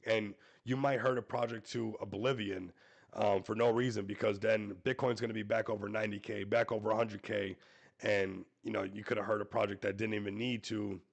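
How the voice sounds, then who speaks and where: A man hosting a casual crypto livestream, hoarse and losing his voice, hyping coins and calling out to viewers. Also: lowish voice, flat delivery, brisk. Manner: hype-driven, repeats "everybody", talks to viewers. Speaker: crypto show host.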